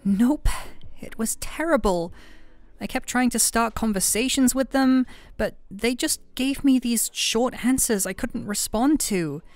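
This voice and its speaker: A woman's voice: fairly high pitch, a little slow, no room sound, close to an everyday voice. A woman playing a playful, affectionate young best friend in an ASMR roleplay, chatting casually and teasing her listener. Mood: chatty, exasperated. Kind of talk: ASMR roleplay audio, conversational acting. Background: soft background music.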